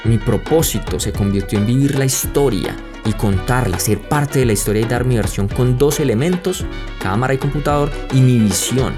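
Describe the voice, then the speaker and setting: A man's voice: mid-range voice, moderate pace. A young man from Colombia with a Colombian accent, hosting a podcast and telling a personal story in a reflective, conversational way. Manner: reflective, conversational, speaks straight to listeners. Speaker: podcast host. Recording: music playing under the voice.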